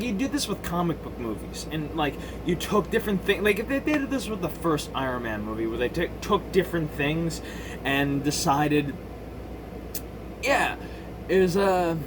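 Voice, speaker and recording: A man with a slightly high voice talks off the cuff in casual, opinionated commentary. He stops, restarts and circles back on his points, with blunt slang and swearing. Clear background noise runs under the recording. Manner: halting, self-interrupting, circling back to rephrase. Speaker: video game movie commentator.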